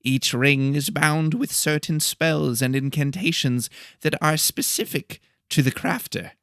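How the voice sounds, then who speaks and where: A man voicing a formal professor who explains things deliberately to a class in an audiobook. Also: fairly high pitch, fairly bright tone, clear, resonant tone, no room sound, studio quality, voice pushed up. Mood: formal, instructive. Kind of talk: audiobook character dialogue.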